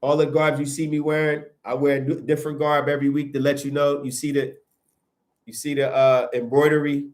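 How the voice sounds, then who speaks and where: A man hosting a livestream, preaching in a casual, animated way and answering viewer comments as he goes, with a sniffly nose. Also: fairly high pitch, a little fast. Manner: animated, preachy, rises sharply when emphatic. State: sniffling from sinuses. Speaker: livestream host.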